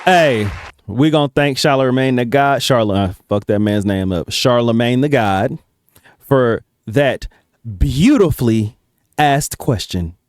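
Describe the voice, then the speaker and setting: A man's voice: mid-range voice, unhurried, dry recording, studio quality, a faint crowd. A man giving casual reaction commentary in a blunt, slangy, swearing-heavy manner. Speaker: reaction video host.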